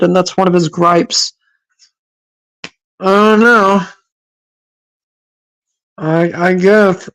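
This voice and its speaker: A woman's voice: lowish voice, low-quality recording. A woman from Massachusetts hosting a livestream, reacting to clips with sarcastic, scolding commentary and frequent hesitations.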